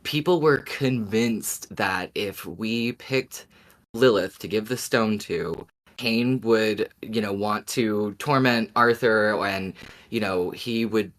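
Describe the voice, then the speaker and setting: A man with a somewhat low-pitched voice speaks as a podcast co-host. His delivery is casual and conversational, like someone talking through ideas with a fellow fan. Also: slow pace, fairly bright tone.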